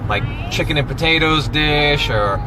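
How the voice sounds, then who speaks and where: A man's voice: a little high, unhurried. A man in his late thirties chatting casually while driving, easygoing and explaining things at length. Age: 30s. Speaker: family vlogger, husband. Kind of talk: casual chat. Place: driving a car. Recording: car road noise behind.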